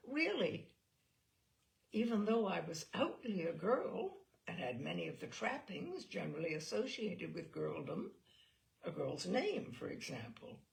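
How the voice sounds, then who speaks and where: A woman giving a theatrical, expressive reading of a comic personal essay, with emphatic phrasing. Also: mid-range voice, creaky. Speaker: reader of literary selections.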